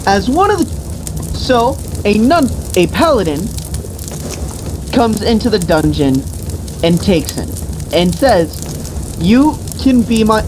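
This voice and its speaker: Slow, profane storytelling woman over sizzling noise. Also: medium pitch, very animated. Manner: profane, mock-epic, deliberate. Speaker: storyteller and host. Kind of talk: improvised comic fantasy storytelling among friends.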